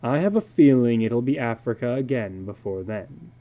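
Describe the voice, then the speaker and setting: A man's voice: medium pitch, lively intonation, moderate pace, mellow, lightly acted, poor audio. A man reading the part of a stern, troubled father who is frustrated with his children. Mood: grim.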